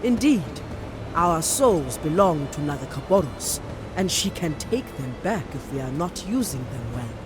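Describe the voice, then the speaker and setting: A woman playing a proud, devout island priestess in a fantasy game, speaking with solemn, measured authority. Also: low-pitched, big pitch swings. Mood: solemn, reverent. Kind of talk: fantasy game character acting. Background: music.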